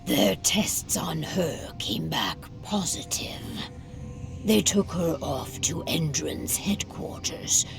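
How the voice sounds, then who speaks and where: Captive werewolf matriarch for a game: deep, very slow, breathy and gravelly, proud and vengeful, music underneath. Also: female voice, lively intonation, exaggerated voice. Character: proud, vengeful female werewolf tribe leader held captive. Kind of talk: dramatic video game acting. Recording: music behind the voice.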